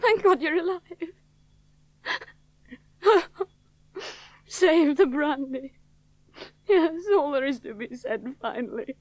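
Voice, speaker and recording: A woman's voice: high voice, lively intonation, measured pace, muffled recording. A weary, bitter woman aviator. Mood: grieving. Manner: brittle, sharp-tongued, sliding into quiet despair. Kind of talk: 1970s radio drama acting.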